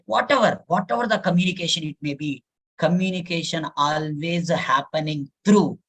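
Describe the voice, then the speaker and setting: A man with an Indian English accent, a native Telugu speaker, giving a live online software lesson, repeating phrases and using many fillers. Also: on the high side, rough recording. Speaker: software trainer.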